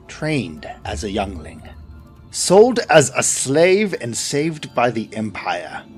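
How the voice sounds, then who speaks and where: A brooding male Inquisitor played by a man, speaking low and slow in a trailer with faint music behind him. His solemn lines rise and fall with quiet resolve. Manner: solemn, measured declaration. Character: brooding male Imperial Inquisitor, weary of control. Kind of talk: audio drama trailer.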